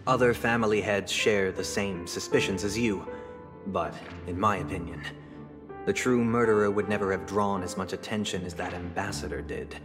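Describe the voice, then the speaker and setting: An actor playing a composed, courteous but suspicious family head in a video game, measured and haughty. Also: male voice, low voice, fairly even intonation, close to an everyday voice. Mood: guarded warning. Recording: reverberant, with music underneath.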